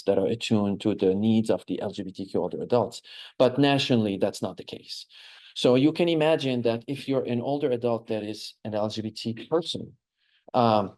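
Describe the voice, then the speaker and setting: A man with a Lebanese accent speaks as an architect giving an informal lecture. His voice is mellow and relaxed, at an average male pitch. He explains conversationally, often checking in with a short questioning tag.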